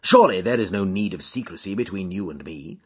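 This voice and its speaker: A man voicing a loyal, earnest gentleman companion who asks anxious questions in formal language, in an audiobook. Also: sits low, lively intonation, a little fast, husky, dull audio. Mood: earnest curiosity. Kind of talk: dramatised audiobook character dialogue.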